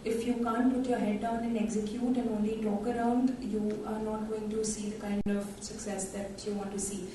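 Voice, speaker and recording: A woman's voice: medium pitch, little pitch movement, moderate pace, few pauses, mellow. A young woman speaking bluntly and emphatically on a conference panel. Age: young adult. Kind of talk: conference talk. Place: large conference hall. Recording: echoey hall from far off, noisy.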